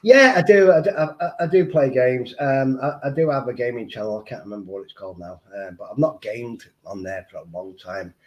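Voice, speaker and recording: Mancunian man, livestream guest, mid-pitched, rambling, lo-fi dull audio. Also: a little fast, few pauses, a little mellow. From Manchester, England. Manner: rambling, repeats words, frequent fillers. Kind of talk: casual livestream chat.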